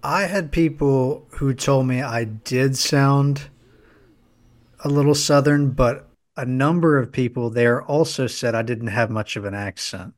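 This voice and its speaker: A man co-hosting a podcast who used to work in radio, speaking in a measured, plain way. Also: mid-range voice, dry recording, studio quality. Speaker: podcast co-host, former radio host. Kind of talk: casual sports podcast chat.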